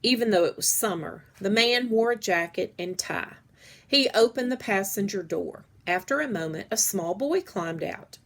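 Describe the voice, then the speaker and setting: A woman reading a children's story aloud in a measured, deliberate storytelling way. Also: medium pitch, lively intonation. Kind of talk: read-aloud story narration.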